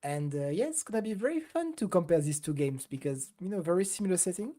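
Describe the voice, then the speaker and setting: A woman's voice: fairly low pitch, sing-song, fast, mellow. A middle-aged woman co-hosting a casual gaming podcast, talking loosely with many fillers and hesitations. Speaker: podcast co-host.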